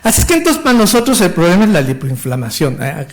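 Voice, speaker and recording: High-voiced Mexican doctor lecturing. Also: male voice, huge pitch swings, fast talker. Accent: Mexican Spanish. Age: 50s. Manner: enthusiastic, technical, with restarts and stumbles. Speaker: physician lecturer. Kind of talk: medical conference lecture.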